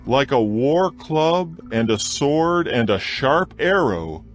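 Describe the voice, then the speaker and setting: A man reading scripture aloud in a dramatized audio production, with a slow, measured, solemn delivery and faint music behind him. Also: medium pitch, very animated. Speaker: scripture reader. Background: soft music.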